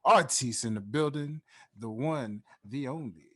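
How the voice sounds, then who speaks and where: A man hosting a casual podcast, talking in a smooth, laid-back radio-style way and often adding "you know what I'm saying.". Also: mid-range voice, unhurried, bright, forceful tone. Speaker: podcast host. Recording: music playing underneath.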